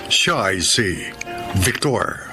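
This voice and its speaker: A male radio drama announcer reads his script very slowly. His mid-pitched voice rises and falls dramatically over loud music. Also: very animated. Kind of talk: slow, dramatic scripted narration. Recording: loud music bed under voice.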